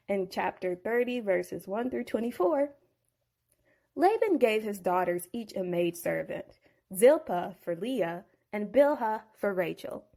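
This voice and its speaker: A woman's voice: mid-range voice, vocal fry, darkish tone. A woman narrating a Bible story in a playful, slangy storybook style.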